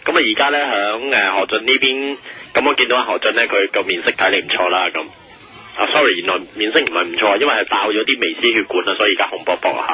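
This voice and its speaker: A man's voice: lowish voice, fast, sharp tone. A man playing a bumbling, self-important TV reporter in a comedy parody of the news, rambling eagerly and interrupting in Hong Kong Cantonese. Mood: eager, flustered.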